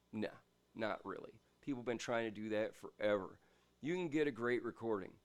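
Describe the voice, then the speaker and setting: A man with a big, booming voice talks through a guitar review at home in a loose, rambling rant. He punches key points loudly and then drops into quieter asides, at an ordinary pitch for a man. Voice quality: big, loud voice. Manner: emphatic, rambling, swings between loud bursts and quiet asides. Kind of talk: casual ranting gear review.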